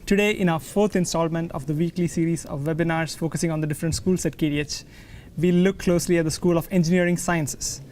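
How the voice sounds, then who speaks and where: Brief: thirties male webinar host, friendly and measured, a bit higher pitched, faint room noise. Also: steady tone, a little mellow. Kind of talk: hosting a university webinar. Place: large library hall indoors.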